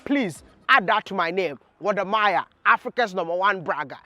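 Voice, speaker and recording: An enthusiastic man narrates a travel vlog with a crisp, bright tone and obvious pride. Light music runs underneath his voice. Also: high voice, animated, moderate pace, no room sound. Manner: enthusiastic, proud. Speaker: travel vlogger and host.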